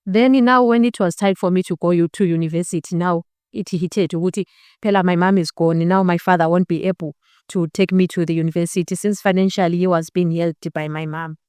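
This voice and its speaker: A young woman in her twenties gives a personal testimony in a Zimbabwean accent, with Ndebele as her first language. Her voice is smooth and a little low, in a dry, close sound. She speaks earnestly and slips between two languages. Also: fairly even intonation, brisk, darkish tone, no echo. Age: 20s. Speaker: interview guest. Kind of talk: personal testimony in a documentary-style interview.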